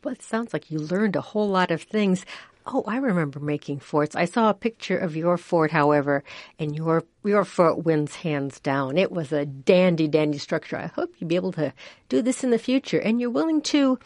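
Older woman from Iowa, community radio host; folksy, chatty, lively swooping delivery, fairly low voice, dry studio mic. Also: normal speed, dry recording. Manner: chatty, folksy, swoops in pitch when exclaiming. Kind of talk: casual radio chat. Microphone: studio microphone.

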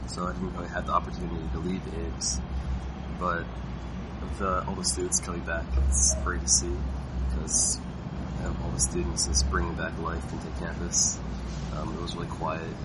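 A young man from New Jersey, a graduate student, answering interview questions in a halting way with many fillers. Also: low voice. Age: young adult. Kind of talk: casual field interview answers. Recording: loud traffic noise behind.

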